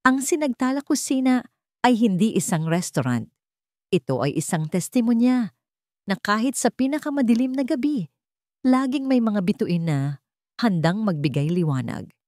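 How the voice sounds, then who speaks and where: A woman's voice: a little high, very animated, normal speed, a little mellow, no echo, clean studio sound. A woman reading a scripted, dramatic story as narrator.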